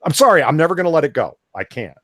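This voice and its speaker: A man's voice: fairly high pitch, rapid-fire, no echo, clean studio sound. A man hosting a casual live panel chat, opinionated and talking in bursts with frequent restarts. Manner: opinionated, animated, often restarts. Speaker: podcast host.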